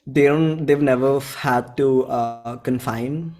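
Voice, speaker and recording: A man in his twenties speaks with an Indian accent in a mid-pitched voice. He talks informally, like a musician in a relaxed interview, halting and reworking his sentences as he thinks aloud. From central India. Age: 20s. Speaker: indie musician being interviewed. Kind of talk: casual interview chat.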